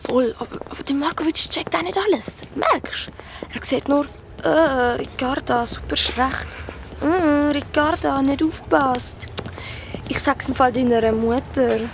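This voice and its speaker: A woman's voice: high voice, vocal fry, background noise. A woman playing an anxious, streetwise schoolgirl in a children's radio drama, stammering in Swiss German slang. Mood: indignant. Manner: stammering, halting, youthful slang.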